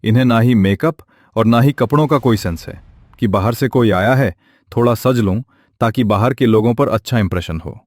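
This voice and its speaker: Guy playing a judgy snide young office buddy, mid pitch, dry sound, story acting. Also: normal speed, no echo, lightly acted. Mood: disdainful.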